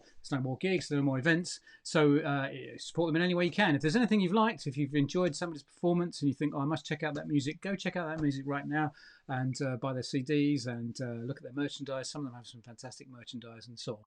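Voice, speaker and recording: A British man with a slightly high voice co-hosts an online show. He chats casually, feeling his way through sentences with informal enthusiasm. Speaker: co-host of an online variety show. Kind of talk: casual chat and introductions.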